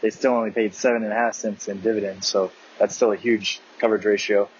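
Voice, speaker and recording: A man giving a casual, halting investment commentary from notes, often correcting himself as he goes. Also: fairly low pitch, level tone. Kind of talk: casual earnings call analysis from notes. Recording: muffled audio with clear background noise.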